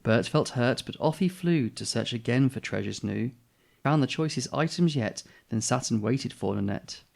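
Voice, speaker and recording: A man reads a children's story aloud in an expressive style. His voice sits at a middling pitch, with a noticeable creak running through it. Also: normal speed. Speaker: storybook reader, channel host.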